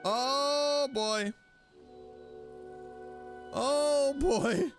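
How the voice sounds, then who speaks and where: A woman's voice: high voice, extremely slow, soft background music. A woman streamer reacting casually to a video game as she plays it. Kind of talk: casual live gameplay commentary.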